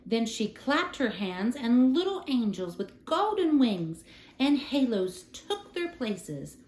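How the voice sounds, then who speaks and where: A woman reading a children's story aloud as a storyteller, in an expressive, dramatic style. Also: on the high side, slow. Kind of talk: scripted children's storybook narration. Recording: roomy, echoing room sound.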